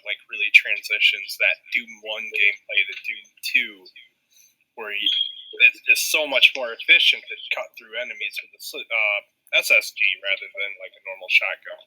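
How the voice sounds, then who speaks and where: A man from Michigan, explaining a point haltingly with many fillers, as a podcast guest. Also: lowish voice, sharp tone, rough recording. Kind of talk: casual group podcast chat.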